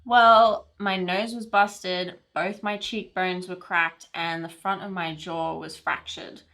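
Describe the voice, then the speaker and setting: Actress playing bright, confiding chatty newlywed woman. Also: fairly low pitch, steady tone, slow. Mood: animated recounting. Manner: conversational, confiding storytelling. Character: chatty newlywed woman spinning a romantic tale. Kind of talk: film romantic comedy monologue.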